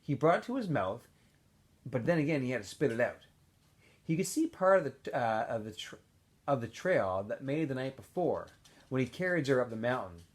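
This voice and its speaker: A man, a teacher, reading a novel aloud for students, with expressive delivery, small asides and self-corrections. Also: mid-range voice, moderate pace. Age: adult.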